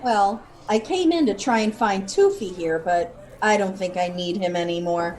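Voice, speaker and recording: A woman's voice: mid-range voice, normal speed, darkish tone, lightly acted. A woman acting as a police officer with a mid-range voice. She is direct, composed and matter-of-fact, delivering scripted drama lines. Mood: composed, official.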